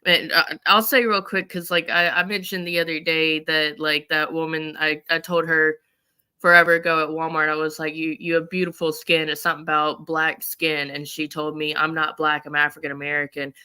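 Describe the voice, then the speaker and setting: A bright, smooth mid-pitched voice in casual conversation on a talk show. The speaker rambles through personal stories and acts out bits of past conversations. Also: little pitch movement, moderate pace.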